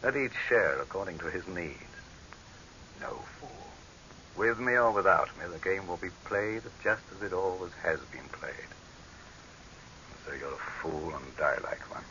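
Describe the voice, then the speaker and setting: An actor playing a proud, tyrannical dictator in a theatrical 1930s radio drama style, declamatory and imperious. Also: male voice, sits low, animated, unhurried, bright, forceful tone, highs cut off, full character voice. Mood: scornful, lecturing. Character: tyrannical, proud male dictator, cynical and desperate. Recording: hissy, band-limited 1930s radio recording.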